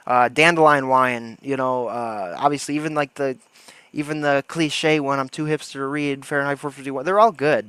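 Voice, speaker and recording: A man's voice: mid-range voice, a bit bright, no echo. A man hosting a livestream in casual, slangy chat with a joking manner. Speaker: livestream host.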